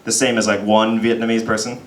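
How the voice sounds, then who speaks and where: A man's voice: on the low side, level tone, a little fast, slight background noise. A young man from Texas performing a chatty, self-deprecating stand-up set in a pub, often laughing as he talks. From United States (Texas). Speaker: stand-up comedian. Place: pub comedy stage. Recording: roomy pub sound with faint crowd murmur.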